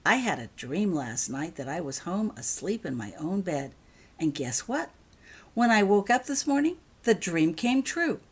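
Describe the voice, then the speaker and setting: A woman's voice: on the low side, big pitch swings, unhurried, breathy edge, heavily acted. A woman voicing an earnest schoolgirl in a storybook reading. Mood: happy. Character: earnest schoolgirl home for the holidays, attached to her cat.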